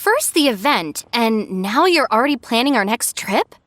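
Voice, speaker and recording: A woman's voice: fairly high pitch, sing-song, normal speed, fairly bright tone, a little hiss or hum. An actress playing a responsible, cautious young woman who minds an unruly gang in a video game, with an exasperated manner. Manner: sighing, cautious, orderly. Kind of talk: animated video game voice acting.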